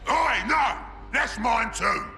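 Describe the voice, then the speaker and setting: An actor playing a cunning, brash ork warboss who shouts and schemes in a gravelly voice. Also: male voice, very high voice, expressive, slow pace, echoey room, slight background noise, full character voice. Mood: bellowing.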